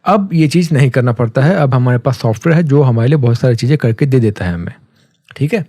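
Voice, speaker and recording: A man with an Indian accent giving a casual, conversational software tutorial, explaining step by step and mixing in English terms. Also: medium pitch, animated, fast talker, dry recording, studio quality. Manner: conversational, mixes English terms, often checks in with a tag phrase.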